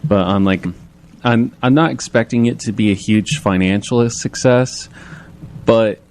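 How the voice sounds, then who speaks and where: A man's voice: fairly low pitch, unhurried, darkish tone, a little hiss or hum. A man speaking informally and a little hesitantly as a guest in a casual radio interview. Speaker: interview guest, creator of an animated project.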